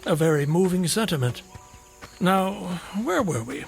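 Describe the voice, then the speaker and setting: A man's voice: sits high, expressive, a little slow, gravelly, faint music behind, exaggerated voice. A man playing an eccentric, courteous solitary wanderer in an audio drama, slyly curious and quaintly polite. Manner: genteel, quaint, wheedling politeness.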